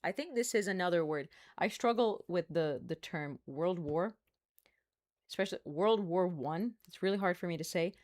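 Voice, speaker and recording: Chatty Brazilian woman with a lowish voice. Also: normal speed, a little mellow. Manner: chatty, laughs often, playful asides. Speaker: streamer. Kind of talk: casual live-stream chat.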